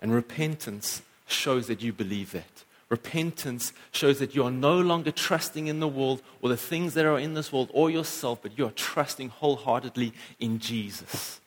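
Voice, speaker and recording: A man's voice: normal speed, few pauses. A pastor preaching a sermon in church, earnest, lifting and dropping his voice for emphasis. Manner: earnest, rises and falls in pitch for emphasis.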